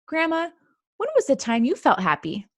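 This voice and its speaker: Storybook schoolgirl mouse, played by a woman, sincere and caring, lifted higher in pitch. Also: wildly swooping pitch, normal speed, dry recording. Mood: eager, curious. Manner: sincere, explaining simply to family. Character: earnest little girl mouse, a caring schoolchild. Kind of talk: children's storybook read-aloud.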